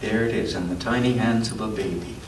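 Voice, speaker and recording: A man reading a picture book aloud to children at a church story time, breaking off for asides and questions about the pictures. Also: on the low side. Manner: pauses to ask listeners questions about the pictures. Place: roomy church interior. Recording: echoey room, distant mic, background noise.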